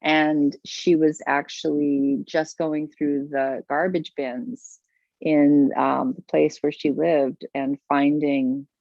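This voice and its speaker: A woman's voice: deep voice, little pitch movement, slow, creaky, a little mellow, no room sound, lo-fi sound. A woman teaching art over a video call, effusive and full of praise, often restarting her sentences. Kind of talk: warm, gushing teaching chat.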